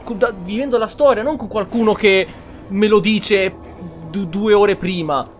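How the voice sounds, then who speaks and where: A man giving casual, animated, complaining commentary while playing a video game. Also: sits high, normal speed, faint music behind. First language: Italian. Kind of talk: casual spoken commentary over gameplay.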